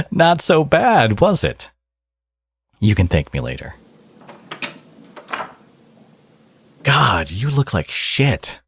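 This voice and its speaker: A man playing a smug, teasing supervillain and doting father in an intimate ASMR audio roleplay, with sardonic, drawling threats and a husky voice. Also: medium pitch, sing-song, very slow, pauses often, a bit bright, slight background noise, heavily acted.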